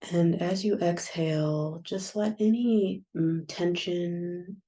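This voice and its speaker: A woman guiding a yoga nidra meditation in a soft, soothing, unhurried manner with long pauses. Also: fairly low pitch. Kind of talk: guided meditation.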